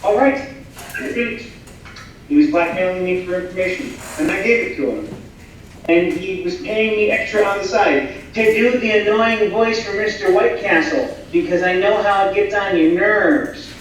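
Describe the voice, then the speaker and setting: A deferential assistant played by a man defends himself earnestly in a stage comedy. His voice is high, heard at a distance in a very echoey room with faint noise. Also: moderate pace, a bit bright. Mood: pleading.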